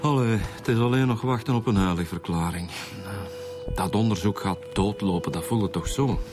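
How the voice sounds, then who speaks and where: A man's voice: on the low side, normal speed, close to an everyday voice. A man with a Flemish accent acting a blunt, impatient, stubborn police detective in a naturalistic radio drama. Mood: exasperated. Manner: blunt, colloquial, insistent. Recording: music behind the dialogue.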